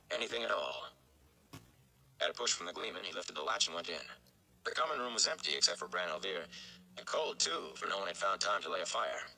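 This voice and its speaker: A man's voice: low voice, vocal fry, pushed and bright, rough recording. A man, narrating a story from a script in a dramatic style. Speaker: audiobook-style reader. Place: small indoor room.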